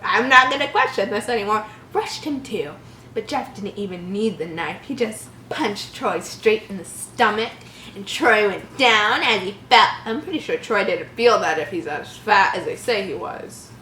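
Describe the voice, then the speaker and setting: A woman's voice: medium pitch, expressive, moderate pace, bright, forceful tone. A woman reading a horror story aloud and breaking in with sarcastic, exasperated commentary. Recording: roomy echo, faint background noise.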